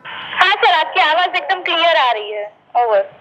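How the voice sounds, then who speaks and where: Very high, bright woman on noisy walkie-talkie. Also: expressive, measured pace, muffled recording, faint music behind. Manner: deferential, calls the listener sir. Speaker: test assistant replying over walkie-talkie. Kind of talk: short radio check replies.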